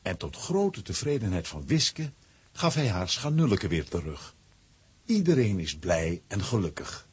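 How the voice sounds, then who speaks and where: A man's voice: deep voice, very animated, measured pace, husky, no room sound, heavily acted. A man narrating a children's adventure radio play as storyteller. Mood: storytelling. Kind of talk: scripted dramatic narration for children.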